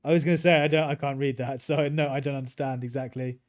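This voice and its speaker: A British man chats easily through a relaxed game stream, mid-pitched and unhurried. He mulls over choices aloud and turns now and then to answer his viewers. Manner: easygoing, chats with viewers while playing. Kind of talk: relaxed gameplay livestream commentary.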